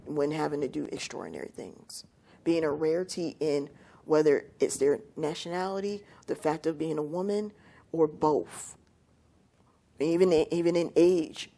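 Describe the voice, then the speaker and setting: A woman giving a measured, explanatory answer as a panelist on a talk show. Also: deep voice, warm tone.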